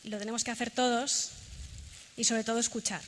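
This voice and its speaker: Bright-voiced woman leading a workshop. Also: a little high, little pitch movement, fairly quick, slight background noise. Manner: instructive, guiding a group. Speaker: workshop leader. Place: large hall. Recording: echoey hall, faint ambience.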